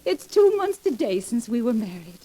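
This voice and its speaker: An actress playing a possessive, jealous young wife with pleading, theatrical delivery in a 1940s radio melodrama. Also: female voice, fairly high pitch, big pitch swings, normal speed, mellow, low-quality recording. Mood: clinging, tender. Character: possessive, frightened young wife feigning blindness, clinging and jealous. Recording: vintage 1940s radio recording.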